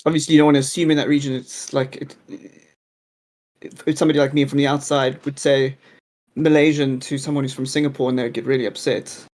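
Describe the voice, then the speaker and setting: A man's voice: medium pitch, level tone, fast talker, darkish tone. A man chatting casually with his raid group over a voice call, musing and easygoing. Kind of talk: casual voice chat while gaming.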